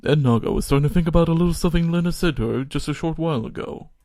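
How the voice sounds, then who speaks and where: A man's voice: on the high side, very fast, warm tone, no echo, clean studio sound. A man narrating a comedic audio drama, reading scripted scene descriptions.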